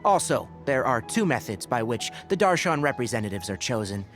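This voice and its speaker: A man voicing a sensible, dry-witted young forest ranger who explains things calmly. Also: mid-range voice, a little fast, faint music behind. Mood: level. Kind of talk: video game voice acting.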